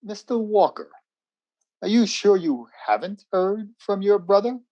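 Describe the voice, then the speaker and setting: A skeptical, officious military major in an old-time radio drama. He is formal and insistent, with a very lively pitch. The recording is dry. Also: measured pace, dry recording, lo-fi sound.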